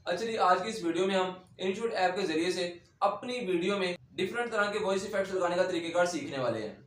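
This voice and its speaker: A man's voice: high-pitched, fast. A man presenting as a tutorial host, speaking to viewers in a roomy recording. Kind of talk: video intro and sign-off. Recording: roomy echo, faint background noise.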